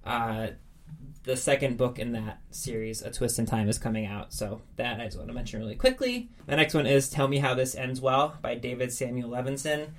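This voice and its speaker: A man's voice: medium pitch, a little fast, fairly bright tone. A man co-hosting a podcast in a casual, enthusiastic chat. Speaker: podcast co-host.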